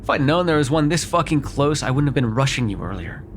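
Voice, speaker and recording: A man's voice: medium pitch, expressive, fast talker, a little hiss or hum, natural voice. A man playing a sardonic, grudgingly apologetic vampire in an immersive roleplay, talking in a wry, hesitant, conversational way with some swearing. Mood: exasperated, uneasy. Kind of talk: immersive ASMR roleplay acting, one side of a dialogue.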